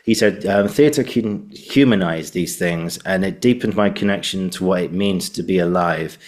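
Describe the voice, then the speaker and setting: A man hosting a casual interview, speaking in a halting, earnest, rambling way. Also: low-pitched, a little mellow. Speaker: podcast host, interviewer.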